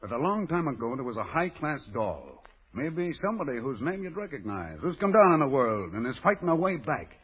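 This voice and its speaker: Streetwise gang boss, American, slangy, insistent, animated, muffled old radio recording. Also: male voice, medium pitch, fairly quick, slightly rough. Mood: pleading. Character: slangy, pleading tough-guy gang boss with a soft spot. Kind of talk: old-time radio drama acting. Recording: muffled vintage radio broadcast.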